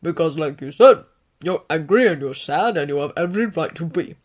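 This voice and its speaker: A woman voicing a big, gentle grown male hero who comforts his young student in a fatherly way. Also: sits low, animated, brisk.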